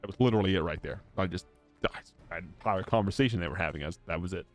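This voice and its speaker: A man's voice: low-pitched, racing. A man doing casual let's play commentary of a video game. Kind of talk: casual game commentary, reading dialogue aloud. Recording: game music playing behind the voice. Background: video game music.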